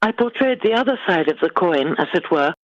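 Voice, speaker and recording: A middle-aged British woman, an author giving measured, formally phrased answers in a literary interview. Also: fairly low pitch, expressive, no echo, muffled recording. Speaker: guest author.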